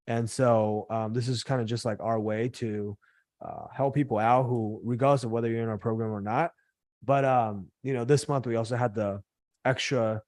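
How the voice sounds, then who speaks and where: A man's voice: medium pitch, darkish tone, no echo, studio quality. A man who is a little sick and losing his voice, hosting a casual live Q&A and answering questions with lots of "like" and "right?". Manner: filler-heavy, lots of "like" and "right?". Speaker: host of a live Q&A for finance career coaching.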